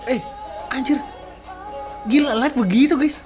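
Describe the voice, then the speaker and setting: A man in his thirties giving casual, excitable reaction commentary as a sound engineer, full of slang exclamations, at home in a small room. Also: very high voice, unhurried, low-quality recording. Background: faint music playing.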